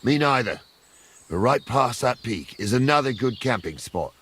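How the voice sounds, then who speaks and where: Wry captain, animated delivery. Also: male voice, mid-range voice, moderate pace, breathy edge. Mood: wary. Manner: blunt, colloquial. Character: gruff, practical soldier captain, seasoned and wry. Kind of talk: video game cutscene acting.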